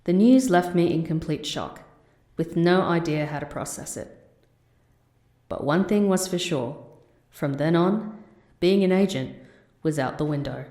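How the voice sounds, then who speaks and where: A woman playing a composed, wry former agent working in a call centre in an expressive audio drama. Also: sits low, measured pace, pauses often, reverberant. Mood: somber, reflective. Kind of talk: audio drama narration and dialogue.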